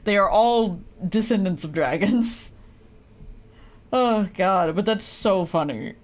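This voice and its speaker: A woman's voice: medium pitch, expressive, takes it slowly. A woman chatting casually on a gaming livestream, with playful, rambling commentary.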